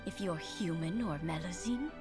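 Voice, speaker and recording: A woman playing a kindly old lady in an anime game, speaking gently and gratefully. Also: on the low side, loud music behind the voice, natural voice. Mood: gentle, knowing. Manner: gentle, unhurried. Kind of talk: scripted anime game acting.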